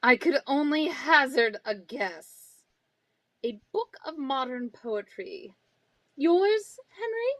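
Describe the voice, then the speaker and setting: A woman's voice: high-pitched, big pitch swings, slow pace, fairly bright tone, no room sound, exaggerated voice, poor audio. A woman playing a cold, cutting, condescending middle-aged wife in a live radio drama reading. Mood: suspicious. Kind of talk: live reading of an old-time radio script.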